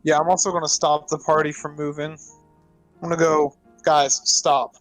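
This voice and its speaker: A man's voice: on the high side, little pitch movement, moderate pace, a bit bright, faint music behind, rough recording. A man playing a tabletop game, talking casually and insistently as he roleplays.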